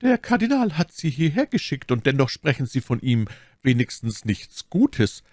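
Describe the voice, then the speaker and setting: A man voicing a gentle, curious abbess in an audiobook, speaking politely and formally with solicitous care. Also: medium pitch, very animated, moderate pace, no room sound, clean studio sound, voice pushed up. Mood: questioning. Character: abbess, gentle, curious, sympathetic pious woman. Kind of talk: audiobook character dialogue.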